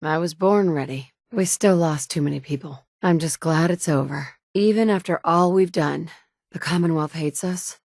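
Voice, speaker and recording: An actress voicing the player heroine of a wasteland game, delivering short, clipped, matter-of-fact lines. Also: female voice, low voice, normal speed, a little mellow, dry recording, clean studio sound. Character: woman survivor, player hero of a wasteland game. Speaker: player character.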